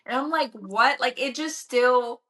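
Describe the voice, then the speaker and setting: A woman's voice: sits high, measured pace, pushed and bright. A woman in her twenties co-hosting a casual podcast, chatty and supportive, filling her speech with "like" and "you know". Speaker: podcast co-host. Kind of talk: casual chat.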